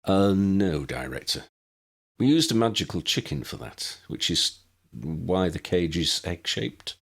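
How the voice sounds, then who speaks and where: A man's voice: low-pitched, very animated, unhurried, creaky, a bit bright, heavily acted. A man voicing a young male British wizard agent in an audiobook, respectful and slightly hesitant. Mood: awkward.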